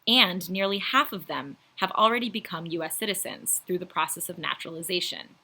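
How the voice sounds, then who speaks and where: A woman's voice: fairly low pitch, fairly quick, sharp tone, breathy edge. A woman presenting an educational video in a formal, scripted explanatory style. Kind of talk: scripted informational narration.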